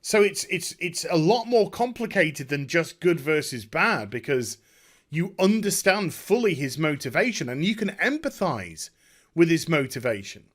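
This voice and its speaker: Animated, high-pitched man rambling effusively. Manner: effusive, overwhelmed, halting with repeats and trailing sighs. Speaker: video game YouTuber and streamer. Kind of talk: unscripted rambling game review chat.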